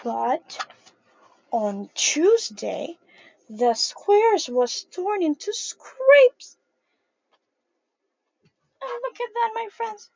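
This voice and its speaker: A young woman reading a picture book aloud to young children in a sing-song, animated way, calling them her friends. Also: extremely high pitch, extremely slow, gravelly, pauses often, no echo, rough recording. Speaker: early childhood storyteller.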